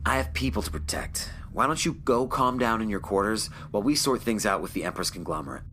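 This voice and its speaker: A man playing a stern, weary, protective ship's captain in an audio drama, speaking in a firm, measured way. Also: lowish voice, lively intonation, sharp tone, a little hiss or hum. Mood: grave. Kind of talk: scripted audio drama acting.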